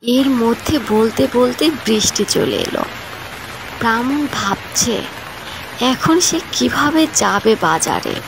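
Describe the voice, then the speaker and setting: A woman's voice: a little high, sing-song, measured pace, gravelly. A woman narrator telling a scripted cartoon story, with noise behind her. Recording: noticeable background noise.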